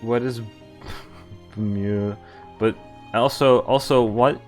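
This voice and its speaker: A man's voice: mid-range voice, fairly even intonation, very slow, darkish tone. A man streaming a game, reading its story aloud dramatically and breaking off into incredulous asides. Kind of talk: reading a visual novel aloud with spontaneous reactions. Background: game music.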